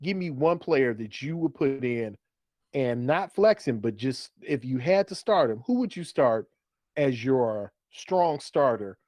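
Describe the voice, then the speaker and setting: A man's voice: medium pitch, expressive, unhurried, a little mellow, no echo. A man hosting a casual sports chat in a colloquial, conversational way, repeating phrases for emphasis. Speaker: fantasy football podcast host. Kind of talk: casual sports chat, steering segments and questions.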